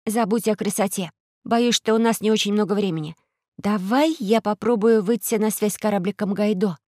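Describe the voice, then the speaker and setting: A woman voicing a sensible, brave young girl with a quiet, matter-of-fact manner in a children's story. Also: medium pitch, moderate pace, no room sound, clean studio sound, lightly acted. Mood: thoughtful, practical.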